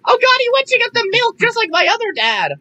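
A woman voicing a cheeky, excitable young boy in an improvised comedic game dub, with flippant, rambling delivery. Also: very high-pitched, fast talker, heavily acted. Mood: playful.

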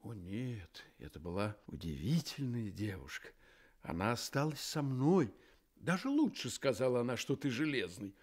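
A man's voice: mid-range voice, very animated. An actor playing a sentimental, courteous iron woodman in a radio play, speaking earnestly and dramatically. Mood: wistful. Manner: earnest, dramatic, drawn-out delivery. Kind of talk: theatrical Soviet radio play acting.

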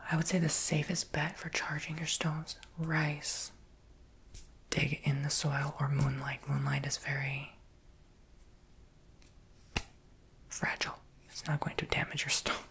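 A woman's voice: low-pitched, completely flat delivery, normal speed, a bit bright, full character voice. A woman whispering in an ASMR roleplay as a chatty, quirky, sassy crystal shop saleswoman. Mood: informative, gentle. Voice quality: breathy whisper.

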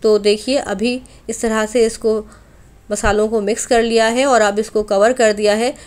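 A woman with a fairly high voice narrates as the host of a cooking channel. She speaks in an instructional way, guiding viewers directly through each step as a tutorial voice-over. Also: fairly even intonation, moderate pace, darkish tone.

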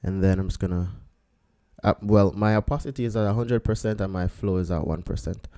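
A man, a photographer, talking through a photo edit step by step in a relaxed screen-recorded tutorial, thinking aloud as he works. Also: deep voice, a little fast. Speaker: photographer teaching photo editing.

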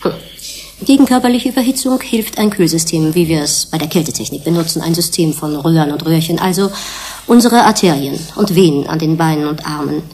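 An actress playing an earnest scientist in a German radio drama, lecturing colleagues in a measured, precise, didactic way. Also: female voice, low voice, darkish tone, a little hiss or hum. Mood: earnest, explanatory.

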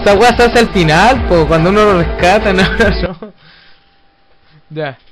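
A man with a Chilean accent chatting casually as he leads a team in an online game, bossy, sweary and excitable. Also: high-pitched, a little slow, poor audio. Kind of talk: casual gaming chat. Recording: loud game music behind, online game session. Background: loud game music and effects.

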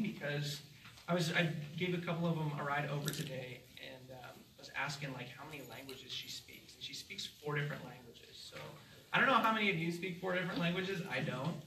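A man's voice: a little high, brisk, noisy background. A man organizing a community event speaks earnestly to the audience from a stage, with many ums. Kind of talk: speech to an audience. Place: large community hall stage. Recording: echoey hall from far off.

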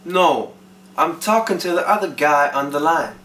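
Male actor as irritated young caller, amateur sketch comedy, higher-pitched, echoey living room. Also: animated, moderate pace, a bit bright. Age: young adult. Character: irritated young man on a phone call.

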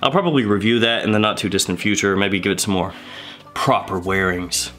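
A man in his thirties with a head cold, chatting through a casual video review and rattling off quick lists. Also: on the low side, a bit bright, soft background music. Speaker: fragrance reviewer. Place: indoor room with shelves of bottles.

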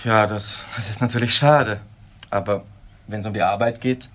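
An actor playing an eager, polite research scientist in a radio crime drama, with an earnest way of talking. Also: male voice, lowish voice, steady tone, normal speed, fairly bright tone, lightly acted. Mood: politely disappointed. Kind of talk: naturalistic German radio crime drama acting.

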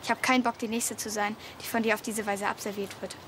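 A woman's voice: medium pitch, level tone, fast talker, fairly bright tone, close to an everyday voice. A young actress playing a hurt, curt teenage girl in a naturalistic TV drama, outdoors. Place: garden yard, outdoors. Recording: noisy outdoor ambience.

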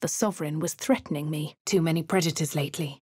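Posh English woman narrating a game, husky. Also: low voice, fairly even intonation, darkish tone, no room sound. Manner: refined, measured, clipped delivery. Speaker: player character narrator. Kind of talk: first-person game narration. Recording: dry studio voice-over.

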